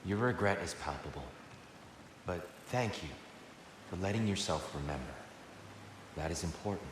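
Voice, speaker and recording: An actor playing a gentle, wise god guiding someone in a video game, kind, measured and earnest. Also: male voice, low voice, background noise, lightly acted. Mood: compassionate. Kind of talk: video game drama acting. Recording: echoing hall with long reverb.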